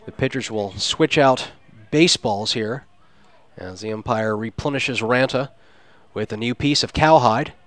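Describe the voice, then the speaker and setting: A man's voice: medium pitch, unhurried, fairly bright tone, dry recording. Male radio baseball announcer, close dry sound. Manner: descriptive, flowery turns of phrase. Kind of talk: live sports radio commentary.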